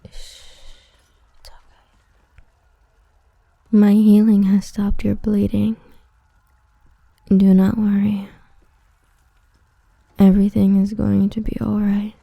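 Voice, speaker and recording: A woman playing an obsessive, protective villain with destructive powers, whispering softly and possessively into a close mic in an ASMR roleplay. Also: medium pitch, monotone, takes it slowly, creaky, pauses often, no echo, narrow, tinny audio. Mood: soothing, possessive.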